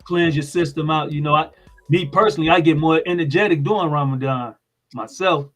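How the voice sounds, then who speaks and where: A man with an American accent talks informally as an interview guest, his voice sitting a little higher than most men's. He explains in a plain-spoken way while faint music plays behind him. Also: a little fast, a bit bright. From United States.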